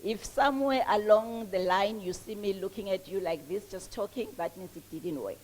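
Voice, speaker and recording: A woman's voice: medium pitch, normal speed, a little mellow. A South African woman with a Mpumalanga Swati accent, giving a chatty, self-deprecating launch speech with many restarts.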